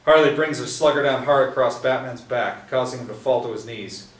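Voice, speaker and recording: A man reading stage directions aloud at a table reading, steady with small restarts. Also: medium pitch, fairly quick. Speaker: script narrator. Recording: echoey room from a distance, faint hiss.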